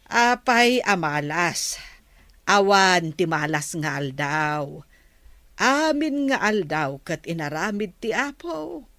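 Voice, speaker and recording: A woman from the Philippines voicing a patient older mother who gives calm moral advice in an audio drama. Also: on the low side, takes it slowly, no echo, heavily acted. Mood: steady counsel. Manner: calm, gently preaching.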